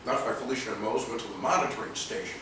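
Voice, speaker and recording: An actor playing a brash, joking young husband and buddy in a stage play, slangy and loose. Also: male voice, medium pitch, fast talker, close to an everyday voice. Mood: subdued. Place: theatre stage, videotaped live from a distance. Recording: echoey noisy theatre hall, distant camera mic.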